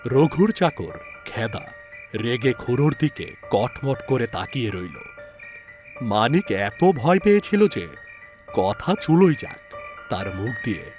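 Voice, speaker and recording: Slow, suspenseful male horror narrator. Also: mid-range voice, sing-song, a little mellow, faint music behind. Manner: suspenseful, measured. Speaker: story narrator. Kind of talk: dramatic horror story narration.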